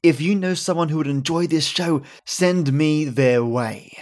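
A man hosting a podcast, delivering scripted thanks and stories in a dramatic way with storytelling pauses. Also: a little high, a little mellow. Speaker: podcast host. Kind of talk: theatrical scripted narration and listener thanks.

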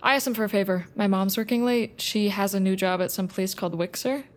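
An actress playing a dry, guarded, sarcastic high school senior girl in an audio drama, with deadpan delivery. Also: a little fast, a little hiss or hum, close to an everyday voice. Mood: hesitant, guarded. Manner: deadpan, wry.

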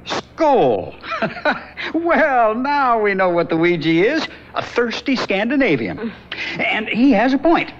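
A woman's voice: fairly high pitch, big pitch swings, slow. A woman acting in a 1970s radio drama, wry and joking, on a lo-fi radio recording with faint hiss.

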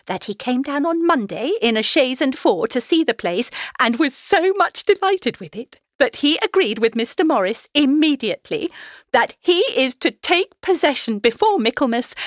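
A woman voicing an excitable, nagging middle-aged wife and mother in a gushing, insistent, theatrical way for an audiobook. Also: on the high side, no echo, clean studio sound, pitched above the performer's own voice. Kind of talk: dramatised audiobook character reading.

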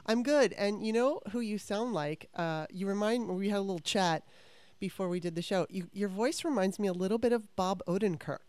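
A woman's voice: medium pitch, a little fast, no echo. Chatty woman podcast host, dry sound. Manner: chatty, animated, frequent restarts. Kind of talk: casual interview chat.

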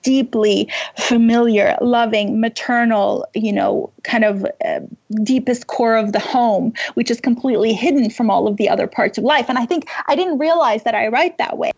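Husky, higher-voiced woman from Iran. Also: moderate pace, darkish tone, dull audio. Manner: hesitant, thinking aloud. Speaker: podcast guest, writer. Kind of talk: reflective interview conversation.

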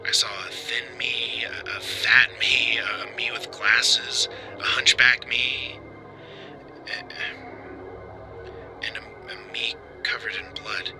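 A man playing a shaken hotel guest on a tipline, telling a strange experience in a halting, conversational way for an audio drama. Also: medium pitch, flat delivery, vocal fry, over music. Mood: awed, unsettled. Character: shaken, bald hotel guest insisting his nightmare was real. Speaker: tipline caller. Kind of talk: dramatic monologue in audio fiction.

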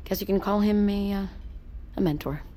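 An actress playing a guarded, earnest submersible pilot who is new to the crew, talking casually in a naturalistic audio drama. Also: female voice, medium pitch, normal speed, warm tone, slight background noise, lightly acted. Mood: subdued, grieving.

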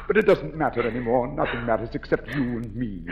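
A man's voice: mid-range voice, fairly quick, close to an everyday voice. An actor playing a deranged, lovesick masked man of the cellars, coaxing and obsessive, in 1940s radio drama style. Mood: tender menace. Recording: dull, noisy 1940s radio broadcast.

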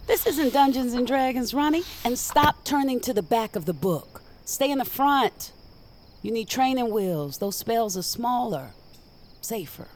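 A woman's voice: fairly high pitch, animated, unhurried, a little mellow, a little hiss or hum. An actress playing a seasoned magic user in an audio drama, a frank and protective mentor who teases as she instructs. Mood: scolding.

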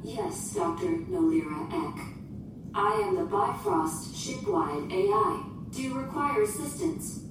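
A woman's voice: sing-song, slow, gravelly, full character voice. A woman playing a polite, unemotional shipboard AI in a sci-fi audio drama, speaking in formal, precise, procedural phrases. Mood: polite, detached. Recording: distant, echoing, noisy with rumble.

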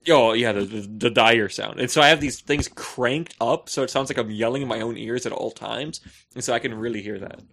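A man's voice: medium pitch, fairly quick, a bit bright, slight background noise. A man co-hosting a podcast, bantering casually with jokey, playful exaggeration. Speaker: podcast co-host.

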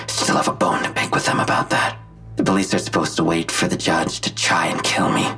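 A low, raspy villain in an audio drama, gloating from confinement. His delivery is mocking and theatrical, swinging freely in pitch, with husky breath in every phrase. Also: huge pitch swings, normal speed, sharp tone, faint music behind, full character voice. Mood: smug, mocking. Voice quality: gravelly, husky. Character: smug imprisoned male villain, gloating, wounded, taunting.

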